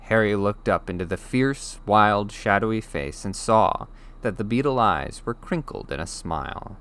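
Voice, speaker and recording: Animated low-pitched man reading a story. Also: measured pace, smooth. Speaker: audiobook reader. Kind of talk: expressive scripted story narration.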